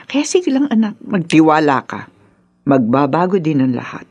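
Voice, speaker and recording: Lively, low-voiced doting grandmother. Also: moderate pace, muffled recording. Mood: gentle, consoling. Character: doting, protective grandmother and wise mother. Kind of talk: radio drama acting.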